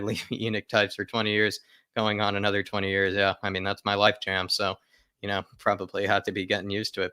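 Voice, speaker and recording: A man's voice: lowish voice, sharp tone, clean tone, dry recording, studio quality. A man hosting a football fan livestream, chatting casually and emphatically to viewers, often repeating his phrases for stress. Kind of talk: casual live fan chat, reading viewer comments aloud.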